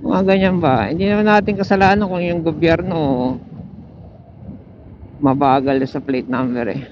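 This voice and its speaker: A man's voice: fairly high pitch, normal speed. A Filipino man giving casual, chatty riding commentary, thinking aloud as he goes. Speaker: motorcycle vlogger. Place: outdoors on a motorcycle in traffic. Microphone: helmet intercom microphone. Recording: muffled helmet intercom mic with vehicle noise. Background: faint vehicle and road noise.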